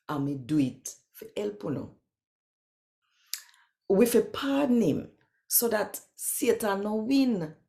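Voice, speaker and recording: A woman with a Jamaican accent, reading scripture and addressing viewers in an expressive, conversational and emphatic way. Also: fairly low pitch.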